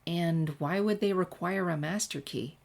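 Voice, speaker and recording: A woman's voice: lowish voice, a little slow, gravelly. A woman voicing an eager, curious teenage schoolgirl in a chirpy, easily flustered manner for a story reading. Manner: bright, questioning, easily flustered.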